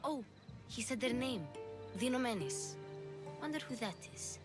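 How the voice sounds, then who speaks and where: A woman's voice: fairly high pitch, expressive, moderate pace, close to an everyday voice. A woman voicing an eager, earnest little girl who likes to sneak and help, in a video game cutscene. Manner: earnest, childlike. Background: background music.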